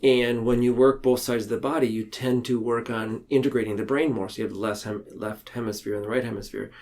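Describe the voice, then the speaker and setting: A man speaking as a podcast guest in a reflective, conversational way, earnest and halting, tending to ramble. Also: medium pitch, steady tone, darkish tone. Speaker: podcast guest, mental health counselor. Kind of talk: reflective conversational interview talk.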